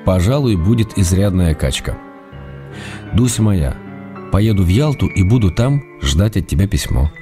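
A man's voice: low-pitched, animated, moderate pace, music in the background. An actor playing an affectionate, slightly weary husband in a radio play, reading out his letters in an intimate, restrained, tender way. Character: affectionate husband and writer, gentle, weary, fond. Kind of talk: quiet dramatic reading of letters.

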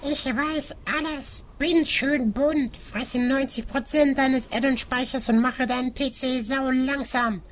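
A woman's voice: high-pitched, fairly even intonation, slow, hardly any pauses. A woman voicing a perky, boastful know-it-all game add-on in a parody audio drama. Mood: cheerfully boastful.

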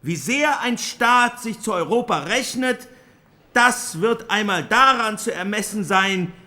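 A post-war German parliamentarian in a radio docudrama. His high, forceful voice delivers solemn oratory at a very slow, deliberate pace. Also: lively intonation, heavily acted. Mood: solemn, grave.

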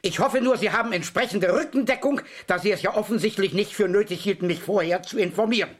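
A man's voice: high voice, fast talker. An actor playing a sarcastic, middle-aged police commissioner and blustering father in a German radio crime play. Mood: irritated, commanding. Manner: sardonic, blustering, easily irritated.

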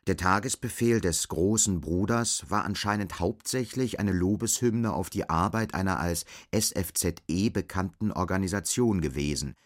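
A man's voice: low-pitched, natural voice. A man narrating a literary radio drama from a script.

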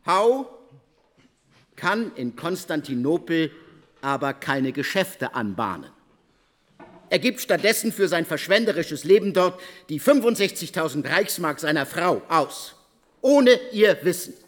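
Radio drama narrator, male, slightly high voice, theatrical emphasis, long hall reverb. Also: sing-song, a little slow.

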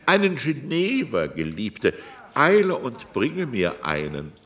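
An actor in a German radio drama playing an imposing, commanding old magnate who talks pompously in halting, broken-off phrases. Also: male voice, mid-range voice, wildly swooping pitch, a bit bright, exaggerated voice. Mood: cajoling, imperious.

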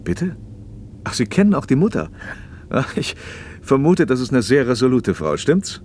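An actor playing a paternal, probing factory manager in a radio play, speaking in a measured, slightly didactic way. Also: male voice, mid-range voice, very animated, darkish tone, slight background noise, close to an everyday voice. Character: socialist factory manager, paternal, probing, talkative male official. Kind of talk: naturalistic German radio play dialogue.

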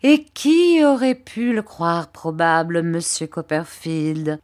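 A woman's voice: mid-range voice, animated, a little mellow. Woman putting on a fawning, falsely humble clerk. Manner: wheedling, obsequious, drawn-out emphasis.

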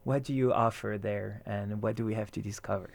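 Man radio host asking halting questions. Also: lowish voice, steady tone, creaky, no echo. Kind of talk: radio interview.

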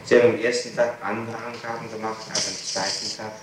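A man conducting a formal interrogation with deliberate questions, recorded on an old tape from the 1960s. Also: on the low side, level tone, heavy reverb, background noise.

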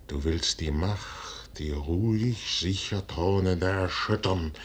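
Slow, deep-voiced brooding general. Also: male voice, slightly rough, exaggerated voice. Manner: declamatory, weighty, solemn delivery with measured pauses. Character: proud, brooding middle-aged male general, commanding and torn. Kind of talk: 1950s German radio play acting, classical stage declamation. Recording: noisy 1950s radio recording with rumble.

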